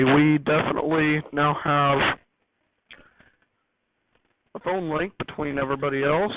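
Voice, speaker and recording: A man giving an unscripted technical walkthrough as a hobbyist host, rambling and correcting himself as he explains. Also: on the high side. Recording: noisy, tinny phone-like audio.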